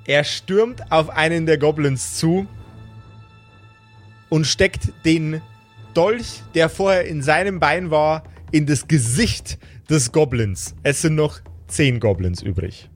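Higher-voiced man as game master. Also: slow pace. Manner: vivid action storytelling mixed with colloquial table talk. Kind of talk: improvised narration of a tabletop fantasy game. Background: background music.

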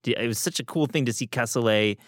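A man's voice: mid-range voice, quick pace, dry recording, studio quality. A man hosting a podcast interview, talking in an enthusiastic, rambling way with many restarts. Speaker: podcast host. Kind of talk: conversational interview.

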